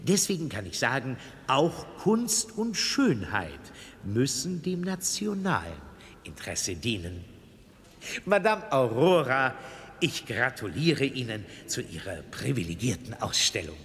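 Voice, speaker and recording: An actor in a German radio drama playing a powerful, smug and menacing political boss, declaiming a speech with emphatic pauses. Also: male voice, mid-range voice, very animated, a bit bright. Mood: smug, veiled threat. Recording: long hall reverb with noisy ambience.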